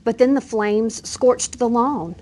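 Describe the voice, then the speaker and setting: A woman reading a children's bedtime story aloud with expressive, gentle storytelling. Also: fairly high pitch, takes it slowly. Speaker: reader.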